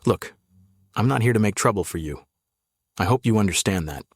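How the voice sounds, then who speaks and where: A man playing a tired middle-aged policeman in an audio drama, fatherly and conversational while quietly probing. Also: on the low side, animated, a little fast, slightly rough, no echo, clean studio sound. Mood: calm, probing. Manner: casual, conversational, subtly probing. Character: tired gray-haired cop, fatherly yet quietly dangerous.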